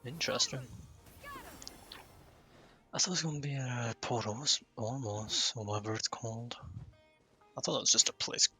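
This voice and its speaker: A man's voice: mid-range voice, moderate pace, pauses often, pushed and bright, faint music behind. A man livestreaming a game with casual, offhand commentary, muttering to himself. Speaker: game streamer.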